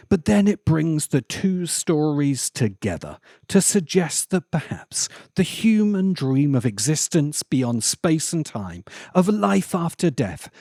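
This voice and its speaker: A man narrating a scripted video essay in a measured way, with emphatic pauses and wry asides. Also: mid-range voice, a little mellow, no echo, studio quality.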